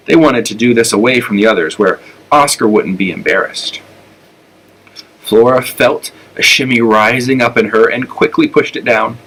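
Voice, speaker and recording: A man reading a children's story aloud with expressive narration. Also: fairly low pitch, slightly rough, poor audio. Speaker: elementary school storyteller.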